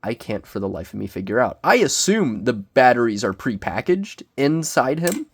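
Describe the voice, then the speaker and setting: A young man with a cold, sniffling as he reviews a collectible figure in casual, self-deprecating, excitable rambling. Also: mid-range voice, moderate pace, slightly rough. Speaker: toy reviewer. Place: small bedroom.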